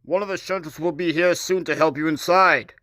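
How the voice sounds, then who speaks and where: A man's voice: on the high side, steady tone, fairly quick, very noisy, natural voice. A man playing a reluctant, apologetic railway workman in a fan comic dub.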